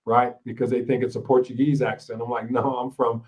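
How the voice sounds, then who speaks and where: Ohio-born male host, warm agreeable interviewer, mid-range voice. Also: medium pitch, level tone, a little fast, far from the mic. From Ohio, USA. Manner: affirming, frequent agreement, gracious. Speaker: podcast host. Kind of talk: casual interview.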